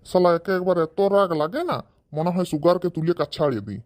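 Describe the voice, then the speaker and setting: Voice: medium pitch, lively intonation, fast, a little mellow, natural voice. A performer voicing a fond, hard-working village farmer father in a comedy cartoon. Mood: hungry, comic.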